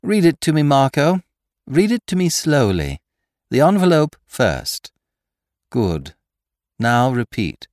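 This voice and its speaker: A man voicing a triumphant, mocking gentleman thief in an audiobook, theatrical and commanding, firing off questions and taunts. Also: mid-range voice, big pitch swings, slow, pauses often, no room sound, clean studio sound, voice pushed up. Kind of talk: dramatised audiobook character voice.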